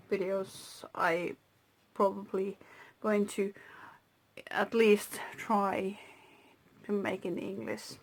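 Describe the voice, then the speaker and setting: A Finnish-native woman hosting her own craft channel, talking through a handmade journal slowly, with pauses and soft whispered asides. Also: mid-range voice, slight background noise. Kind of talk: casual crafting flip-through.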